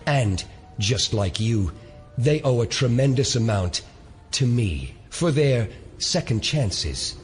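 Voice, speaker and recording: Menacing secret-society chief for audio drama. Unhurried, deliberate delivery with expressive, wide-ranging pitch. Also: male voice, soft background music. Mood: smooth veiled menace. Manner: measured, deliberate, coldly courteous. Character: menacing male elder leading a secret conspiracy.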